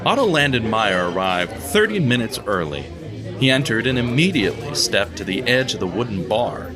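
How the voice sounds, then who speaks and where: A male narrator reads a radio-theatre story in a measured, expressive way, his voice rising and falling a lot as he tells it. Guitar music plays clearly behind him. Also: fairly low pitch. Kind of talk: scripted audio drama narration.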